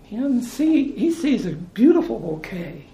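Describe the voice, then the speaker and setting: A man's voice: very high voice, big pitch swings, measured pace, gravelly, warm tone, reverberant, low-quality recording. An American man preaching informally, talking in a conversational way, repeating phrases and restarting his sentences. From United States.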